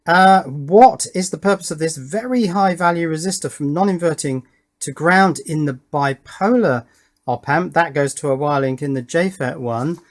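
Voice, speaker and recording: Man thinking aloud through a technical puzzle. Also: a little high. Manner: puzzled, deliberate, self-questioning. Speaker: host of an electronics project channel.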